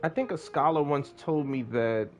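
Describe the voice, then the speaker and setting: A man's voice: fairly high pitch, moderate pace, a little mellow. A man giving laid-back, slangy commentary as he plays a video game and reads along. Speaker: streamer playing a game. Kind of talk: casual gameplay commentary. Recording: game music behind.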